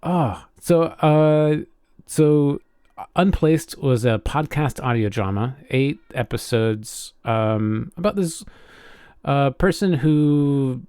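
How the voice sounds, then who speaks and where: A man's voice: mid-range voice, expressive, takes it slowly, warm tone, clean tone, no room sound, studio quality. A man co-hosting a podcast in casual chat, telling things informally and hesitantly as he goes. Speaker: podcast co-host.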